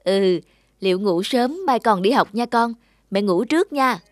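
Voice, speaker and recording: A woman's voice: mid-range voice, animated, unhurried, no room sound, close to an everyday voice. A woman acts out a middle-aged single mother in a cartoon drama. She is modest and reluctant, earnest in her speech and tender toward her child. Music plays behind her. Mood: gentle. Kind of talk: animated moral drama acting.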